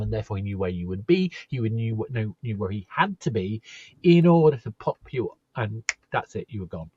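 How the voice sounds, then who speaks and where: A man hosting a podcast interview, telling stories in an animated way with lots of restarts and fillers. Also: medium pitch, husky, dry recording. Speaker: podcast host. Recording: slightly muffled dry audio.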